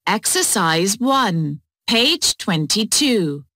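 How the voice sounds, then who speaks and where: Woman announcer reading exercise numbers, extremely slow, lively pitch, dry. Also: dry recording. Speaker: track announcer.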